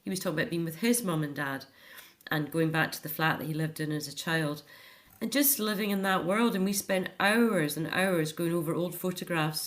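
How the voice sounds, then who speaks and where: A middle-aged woman speaking earnestly and emphatically as a guest in a reflective personal interview. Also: on the low side.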